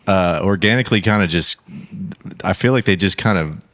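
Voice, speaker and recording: Deep-voiced man rambling through a story. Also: fairly quick, fairly bright tone. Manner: rambling, many fillers and restarts. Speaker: podcast guest, musician. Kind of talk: casual chat.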